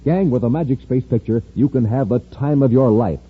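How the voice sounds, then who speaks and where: A man's voice: mid-range voice, a little fast, husky. A man announcing and narrating a 1950s radio show with an emphatic, theatrical showman delivery. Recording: muffled old radio recording, faint noise.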